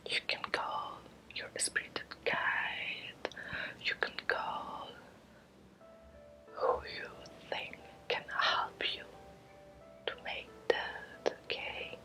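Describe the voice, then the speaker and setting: Whispering woman teaching slowly in ASMR style. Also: bright, forceful tone, faint music behind. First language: Spanish. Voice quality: breathy whisper. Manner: slow, halting, short phrases with long pauses. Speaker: Reiki teacher. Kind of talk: ASMR whispered guided lesson.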